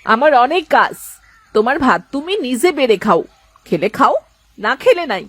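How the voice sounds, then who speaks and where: A woman voicing a sharp-tongued, scornful village wife in a moral-story cartoon. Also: fairly high pitch, very animated, normal speed, dry recording. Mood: scornful, scolding. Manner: sarcastic, scolding.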